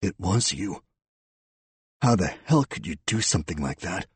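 A man's voice: low voice, sing-song, unhurried, a little mellow, husky, no echo, heavily acted. A man voicing a stern male police officer, shocked and let down, in an audiobook story. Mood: hushed shock.

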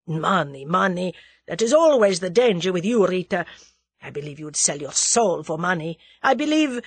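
A woman narrator performs a bitter Russian male plotter from a storybook. His voice is rough and husky, pushed forcefully with lively swings. He snarls with exasperated menace, recorded close and dry. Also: fairly low pitch, normal speed, no room sound, exaggerated voice. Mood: sinister accusation. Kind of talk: dramatised audiobook character dialogue.

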